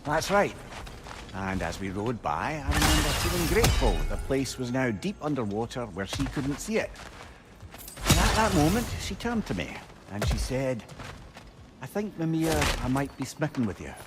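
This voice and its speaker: A man's voice: medium pitch, big pitch swings, moderate pace, very noisy, natural voice. A man playing a chatty, sentimental older companion in a video game, telling tales with wry expression. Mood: tender, regretful. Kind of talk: dramatic video game acting.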